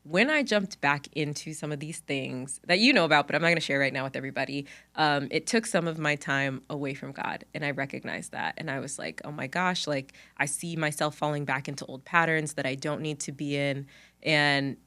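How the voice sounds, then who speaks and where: A woman's voice: low-pitched, fairly quick, sharp tone. A young adult woman co-hosting a podcast in casual chat, upbeat and chatty. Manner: upbeat, chatty, frequent laughter. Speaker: podcast co-host. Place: Dallas.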